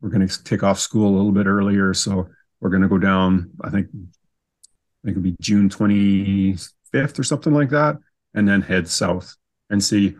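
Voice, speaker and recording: A Canadian man with a fairly low voice chats as a podcast guest. He tells his stories casually and in an easygoing way, happy to poke fun at himself. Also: normal speed, darkish tone. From Canada, southern Saskatchewan. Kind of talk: casual storytelling in an interview.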